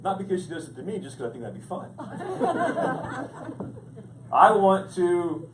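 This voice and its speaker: A man preaching with a playful, joking delivery, his voice sitting a little high for a man. He sounds set back from the microphone in a large echoing church hall, with steady noise underneath. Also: normal speed. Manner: playful, animated storytelling with jokes. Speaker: pastor. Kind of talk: humorous sermon. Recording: echoey hall, distant mic, background noise.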